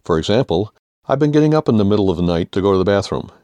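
Older American male essayist, low voice, humorous scripted read, dry close sound. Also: very animated, very fast, mellow, no echo. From United States. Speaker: author reading own humorous essay. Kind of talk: scripted humorous first-person narration.

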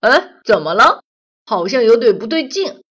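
A patient wolf big brother from a storybook, talking in a calm, reasoning and gently firm way, as a woman storyteller does him. The voice is clear and strong, slightly husky, with a lively lilt. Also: on the high side, moderate pace, pitched above the performer's own voice, heavily acted. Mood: cheerful. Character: kind older wolf friend, patient and wise. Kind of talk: children's audio story.

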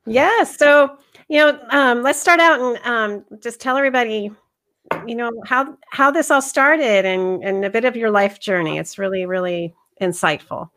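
A woman's voice: on the high side, normal speed, a bit bright. A woman hosting a health coaching podcast, reading a scripted intro and then chatting with a guest in an upbeat manner.

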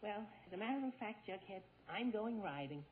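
Excitable lovestruck teenage boy, tinny old radio. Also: high voice, brisk. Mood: exasperated. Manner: gushing, stammering, exaggerated exclamations. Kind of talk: 1940s radio comedy acting. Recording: tinny, noisy 1940s radio broadcast.

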